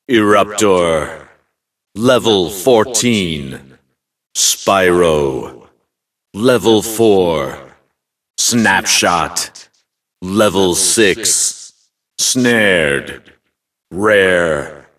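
A deep fantasy game announcer, solemn and commanding. He delivers short, clipped callouts at a very slow pace, sounding set back from the mic. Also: a bit bright, far from the mic, heavily acted.

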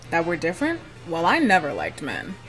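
A woman's voice: fairly low pitch, huge pitch swings, brisk, a bit bright, low-quality recording. A woman playing a tough, protective, blunt teenage girl from a 1950s gang in a comic dub. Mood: frank. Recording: music behind the voice.

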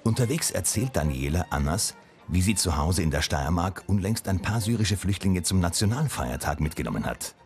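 Deep male narrator reading a news report, calm, soft music behind. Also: moderate pace. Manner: calm, even-paced news delivery. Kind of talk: scripted voice-over narration.

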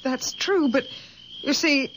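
A strange, withdrawn young woman with a very high voice, begging in distress. Her delivery is urgent and halting, in the manner of a radio mystery, on an old recording with noticeable noise. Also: fairly bright tone, low-quality recording. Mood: miserable, insistent. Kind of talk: 1970s radio mystery acting.

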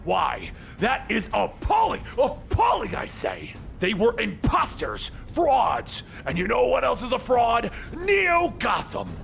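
Manic, shrill, gleeful clown villain. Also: male voice, huge pitch swings, slow pace, vocal fry, hardly any pauses, fairly bright tone, raised voice, faint music behind, heavily acted. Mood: mock outrage.

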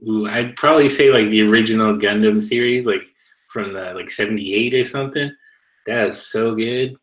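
A man's voice: on the low side, moderate pace, fairly bright tone, rough recording. A man chatting casually as an interview guest on a video call, in a loose, slangy way peppered with "like". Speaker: interview guest, comic creator. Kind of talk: casual chat.

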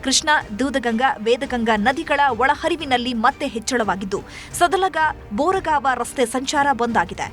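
A woman's voice: high voice, animated, moderate pace, fairly bright tone. A woman narrating scripted news in an emphatic, dramatic way. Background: music bed.

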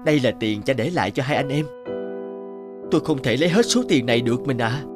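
A man's voice: mid-range voice, expressive, fast talker, over music, natural voice. A man voicing an honest, kind-hearted village elder brother in a cartoon, earnest and respectful.

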